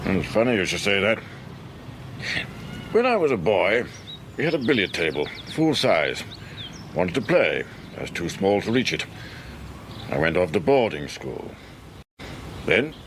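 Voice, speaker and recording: A man's voice: on the low side, sing-song, measured pace, long pauses, fairly bright tone, a little hiss or hum, exaggerated voice. An actor in a radio drama playing a fretful British man in his sixties, retired from office work and gloomily fussy about his lawn. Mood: glum, brooding. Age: 60s.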